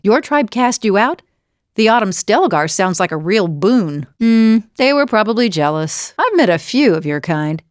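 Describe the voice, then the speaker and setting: A woman's voice: medium pitch, no room sound, studio quality, lightly acted. A woman voicing a bold, teasing female fighter and wanderer in a fantasy video game, with a wry, conversational delivery.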